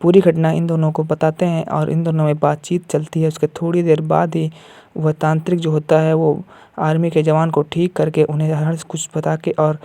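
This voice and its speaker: A man's voice: on the high side, monotone, moderate pace, warm tone, no echo. A man narrating an amateur cartoon story as the storyteller.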